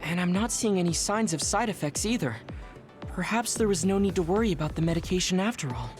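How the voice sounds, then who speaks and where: An actress playing a composed, analytical soldier in an anime-style video game, calm and formal. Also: female voice, fairly low pitch, fairly quick, faint music behind, natural voice.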